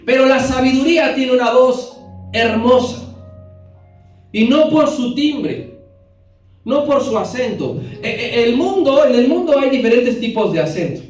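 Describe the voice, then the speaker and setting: A man's voice: very high voice, expressive, unhurried, darkish tone, soft background music. A man giving a Bible class in a church hall, explaining verses step by step and putting questions to his listeners. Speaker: bible teacher. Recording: very echoey hall, voice from a distance.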